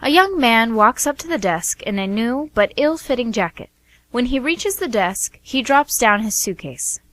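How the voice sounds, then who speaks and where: A woman's voice: a little high, animated, moderate pace, a bit bright. A woman reading scripted stage-setting narration for a radio play.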